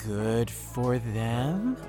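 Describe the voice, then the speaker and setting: A man playing an earnest, soft-hearted young man in love, in an audio drama. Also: medium pitch, big pitch swings, drawn-out words, soft background music. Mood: weary, dry. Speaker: voice actor.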